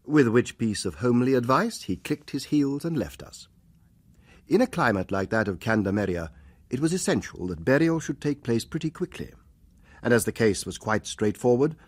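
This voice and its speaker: An actor playing a composed, wryly observant gentleman narrating a radio drama in the first person. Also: fairly low pitch, slightly rough, dry recording, clean studio sound.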